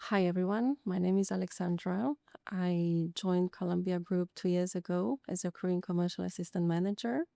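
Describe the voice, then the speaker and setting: Gravelly-voiced woman. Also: on the low side, normal speed, hardly any pauses, mellow, no room sound, clean studio sound. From Romania. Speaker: commercial assistant manager. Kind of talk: podcast conversation.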